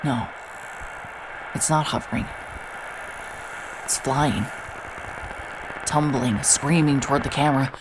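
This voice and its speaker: An actress playing a hard-bitten, wary private investigator, terse and sardonic, in a horror audio drama with noir-style narration. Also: female voice, a bass voice, steady tone, takes it slowly, long pauses, noisy background. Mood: tense, absorbed. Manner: muttering to self, wry, terse. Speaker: lead character and narrator.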